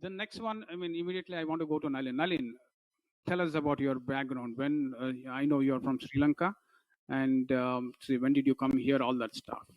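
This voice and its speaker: A man's voice: medium pitch, fairly even intonation, a little fast, dry recording, highs cut off. A man hosting a casual online chat, asking loose, rambling questions. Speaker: host.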